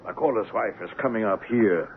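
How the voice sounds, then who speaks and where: An actor playing an insolent, cynical assistant at a jungle trading post in a radio drama, needling and sarcastic. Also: male voice, lowish voice, normal speed, close to an everyday voice. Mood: uneasy watching. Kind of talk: 1970s radio drama acting. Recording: muffled, noisy old radio broadcast.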